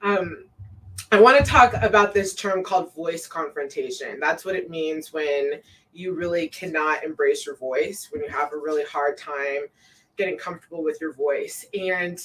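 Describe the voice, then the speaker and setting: A woman co-hosting a casual live stream, relaxed and loose with plenty of fillers, reading out viewer comments. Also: moderate pace, far from the mic, rough recording. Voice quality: says her own voice sounds deep to herself.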